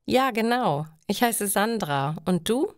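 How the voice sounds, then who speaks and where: Langsam sprechende, zufriedene junge Studentin von einer Erzählerin gespielt. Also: female voice, medium pitch, lively intonation, slow, no room sound, studio quality, voice pushed up. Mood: contented. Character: young female student, cheerful, contented, newly moving in.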